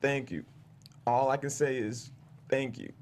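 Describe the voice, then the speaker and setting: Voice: medium pitch, fairly even intonation, unhurried, a little mellow, slight background noise, lightly acted. An actor in a comedy table read playing a grieving, awkward, sweetly clueless fly. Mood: earnest.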